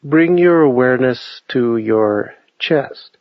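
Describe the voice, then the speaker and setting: Male meditation guide, slow and measured delivery, calm instruction, dry and slightly muffled sound. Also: medium pitch, no room sound. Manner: unhurried, measured phrasing with long pauses.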